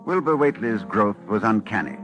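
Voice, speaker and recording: Old-time radio horror narrator: learned professor, low, ominous, highly dramatic intonation. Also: male voice, big pitch swings, fairly quick. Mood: dread-filled. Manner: solemn, ominous, dramatic delivery. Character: grave, urgent male professor narrating a horror. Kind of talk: 1940s radio drama acting. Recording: vintage radio recording, music behind.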